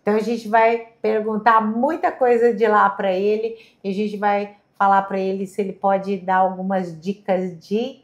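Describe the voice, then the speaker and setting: A woman's voice: mid-range voice, flat delivery, normal speed, hardly any pauses. A woman hosting a podcast interview, asking questions and echoing her guest's answers back. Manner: asks questions, echoes the guest's words back. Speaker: podcast host. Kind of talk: casual interview.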